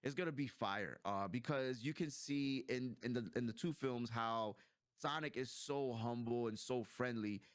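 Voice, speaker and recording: An American man co-hosting a casual film review, talking in animated, slangy style. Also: mid-range voice, unhurried, no echo, clean studio sound. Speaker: co-host of a movie review show.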